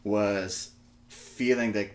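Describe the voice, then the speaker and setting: A man's voice: lowish voice, fairly even intonation, very slow. A man talking casually as a co-host in a conversational discussion, with faint ambient noise behind him. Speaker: co-host with executive experience.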